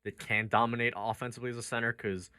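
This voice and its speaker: A male sports talk host with a mid-pitched, bright and forceful voice. He argues basketball conversationally, working through his counterpoints, in a tight, dry studio sound. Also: flat delivery, fast talker, dry recording.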